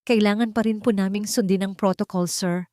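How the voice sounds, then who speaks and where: A woman voicing a cold, formal female child protection officer in an audio drama, professional and without warmth. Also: mid-range voice, fairly even intonation, a little fast, no echo, clean studio sound, pitched above the performer's own voice, lightly acted. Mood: cold, official.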